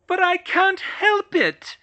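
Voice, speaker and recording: Brief: an overworked young witch, loud, high and strained, swinging wildly in pitch. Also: sing-song, takes it slowly, heavily acted. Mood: distraught. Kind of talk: audiobook reading of fan fiction. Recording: slightly muffled recording.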